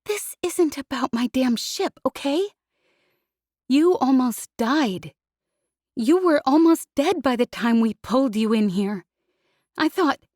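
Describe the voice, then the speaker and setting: Woman reads as tearful young woman pilot, high, sobbing, breathy, storybook reading. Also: expressive, measured pace, darkish tone, no room sound, studio quality. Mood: furious, sobbing. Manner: angry, sobbing, swearing. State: crying.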